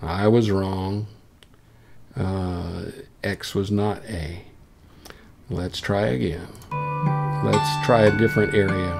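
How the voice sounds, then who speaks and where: Low-pitched, slow man with a gravelly edge. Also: steady tone. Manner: informal, thinking aloud as he demonstrates. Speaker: software tutorial presenter. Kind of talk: casual screencast demonstration. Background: solo acoustic guitar clips playing.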